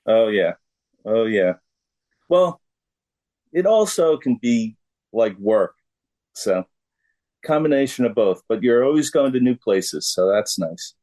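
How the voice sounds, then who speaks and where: A man's voice: medium pitch, animated, slow, pauses often, warm tone. A middle-aged man answering questions as a guest in a casual interview.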